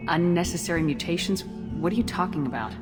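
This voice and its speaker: A woman acting as a tough female commander with a low voice, speaking firmly and directly in game dialogue. The recording has long reverb and music playing clearly behind her. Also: expressive, brisk, close to an everyday voice. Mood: businesslike. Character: tough, no-nonsense female human military commander. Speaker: video game protagonist.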